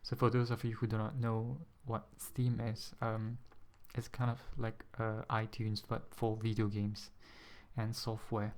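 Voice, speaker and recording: A young man from Singapore with a Singaporean-tinged English accent, talking to camera in a casual vlog, hesitant and a bit nervous, pronouncing words with deliberate care. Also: on the low side, completely flat delivery, a little mellow. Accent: Singaporean-influenced English, carefully pronounced. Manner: hesitant, many ums, a little nervous; careful, deliberate pronunciation. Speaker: ASMR channel host. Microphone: USB desk microphone.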